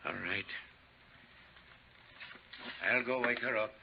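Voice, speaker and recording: A man playing an anxious older small-town father with a creaky voice and folksy, halting speech in a 1950s radio drama. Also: fairly low pitch. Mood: reluctant. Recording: dull, noisy 1950s radio recording.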